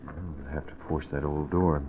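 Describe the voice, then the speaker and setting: A narrow, noisy old radio recording of a daring American crime fighter. His voice is deep, and he talks with brisk authority and urgency. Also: fairly even intonation. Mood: purposeful. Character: daring young publisher, masked crime fighter, decisive and commanding. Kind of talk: 1940s radio acting.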